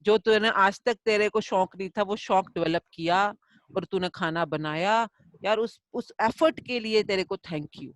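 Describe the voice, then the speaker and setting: A middle-aged woman leads a live online lesson, answering a student's question with homely examples and quick acted-out quotes. She moves easily between Hindi and English at a middle pitch. Her voice is dry and close to the mic. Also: no echo. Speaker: gratitude course teacher.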